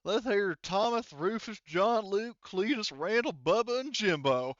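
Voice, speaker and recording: Male player as boisterous Texan hillbilly mechanic for a tabletop game; folksy, proud, raised pitch, clean delivery. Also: animated, measured pace, a bit bright, no echo, dull audio. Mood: proud, boastful. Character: boisterous hillbilly mechanic from rural Texas, mangles phrases, proud.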